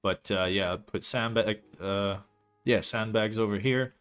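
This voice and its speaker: A man's voice: on the low side, a little slow, a bit bright, smooth. A young man from Belgium whose first language is not English, chatting casually and rambling, swearing now and then, as he walks viewers through a map he is building. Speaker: streamer building a game map. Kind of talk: casual livestream commentary.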